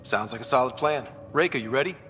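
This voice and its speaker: An actor playing a young, heroic squad leader in a sci-fi game, speaking in a plainspoken, resolute, natural way. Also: male voice, medium pitch, fast talker, a bit bright, a little hiss or hum, lightly acted. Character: young heroic male space explorer leading his squad. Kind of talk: scripted video game cutscene acting.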